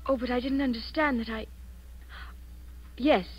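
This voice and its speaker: An actress playing an anxious, cornered young companion in a 1940s radio drama, hesitant and flustered. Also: female voice, a little high, steady tone, unhurried, breathy edge. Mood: flustered, evasive. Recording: muffled 1940s radio recording.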